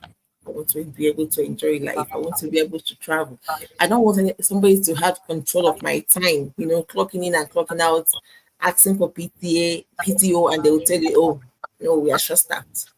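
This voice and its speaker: Deep voiced lady telling her story, earnest, a bit of noise behind. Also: fairly even intonation, a little fast, low-quality recording. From Nigeria. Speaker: guest. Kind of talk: personal story in a live chat.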